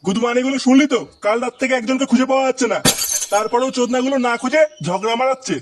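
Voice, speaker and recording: A man voicing a foul-mouthed, bossy village man in a comedy cartoon, gruff and scolding. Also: very high voice, steady tone, racing. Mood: irritated, scolding. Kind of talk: exaggerated cartoon dubbing.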